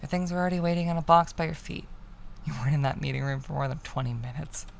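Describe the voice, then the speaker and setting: A woman reading a visual novel aloud as dramatic narration, with hushed, breathy phrase endings. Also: low voice, quick pace, a little hiss or hum. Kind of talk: dramatic second-person story narration.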